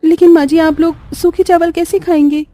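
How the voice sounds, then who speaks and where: A woman's voice: very high-pitched, flat delivery, normal speed, mellow, no echo, faint music behind. A woman playing a poor, self-sacrificing young mother in a cartoon story, earnest and plaintive. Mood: distressed. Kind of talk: Hindi cartoon story voice acting.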